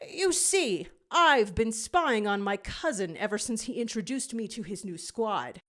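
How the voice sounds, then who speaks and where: A woman's voice: mid-range voice, natural voice. A woman voicing a smug male canine villain, wordy, pompous and condescending, in a storybook reading. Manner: pompous, formal wording.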